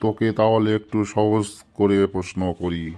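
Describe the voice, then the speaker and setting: Low-voiced exasperated cartoon schoolteacher, played by a man. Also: steady tone, moderate pace, warm tone, close to an everyday voice. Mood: weary, irritated. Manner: scolding, long-suffering. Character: exasperated, easily angered male schoolteacher. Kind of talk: comic cartoon dialogue.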